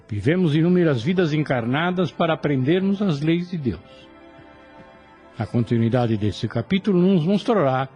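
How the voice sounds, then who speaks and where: A man's voice: on the high side, darkish tone. A man narrating a radio drama from a script in a solemn, moralizing manner. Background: music.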